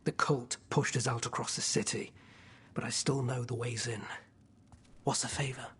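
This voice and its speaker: An actor playing a weary, grim smuggler with a very husky, breathy voice in a cinematic game scene. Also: male voice, mid-range voice, flat delivery, normal speed, fairly bright tone. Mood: resolved. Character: weary, grim underworld smuggler bringing bad news. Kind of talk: cinematic video game acting.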